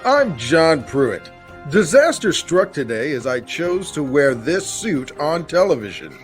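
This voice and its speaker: A man playing a pompous TV news anchor in a parody newscast, explaining things in a flat, over-simple way. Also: a little high, measured pace, soft background music. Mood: deadpan.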